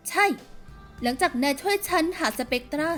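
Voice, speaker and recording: A woman voicing a bossy, sardonic young rebel leader in a video game fan dub, with a brisk, teasing delivery. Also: high voice, animated, fairly bright tone. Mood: cool, confident. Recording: music behind the voice.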